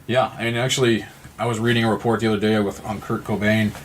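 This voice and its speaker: A man from Missouri with an American accent, speaking as an interview guest in an earnest, halting, reflective way. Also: lowish voice, flat delivery, a little hiss or hum. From Missouri, United States. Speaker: nonprofit representative and guest. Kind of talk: conversational interview.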